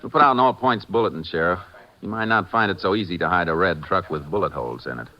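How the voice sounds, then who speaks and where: An actor playing a businesslike Texas Ranger investigator in a 1950s radio drama. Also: male voice, low voice, fast talker. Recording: muffled old-time radio recording.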